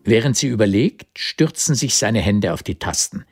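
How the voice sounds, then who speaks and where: An actor narrating a historical radio drama in vivid scripted present tense, with a husky voice. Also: male voice, on the low side, very animated, normal speed, over music. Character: male narrator of a historical story.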